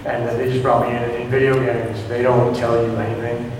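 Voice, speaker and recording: A man speaking on a panel in a large, echoey hall, his voice distant from the mic, with steady noise behind him. He tells easygoing, self-deprecating stories about his work and laughs often. His pitch sits in an ordinary middle range. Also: a little fast. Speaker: voice actor guest. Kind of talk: panel interview, casual storytelling.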